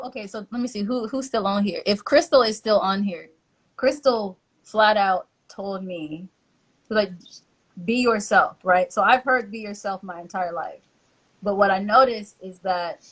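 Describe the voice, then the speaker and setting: A woman hosting a casual live-stream chat, telling animated stories and acting out quotes. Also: mid-range voice, breathy edge, low-quality recording. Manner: lively storyteller who acts out quotes. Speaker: host, church social media helper. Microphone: live-stream call.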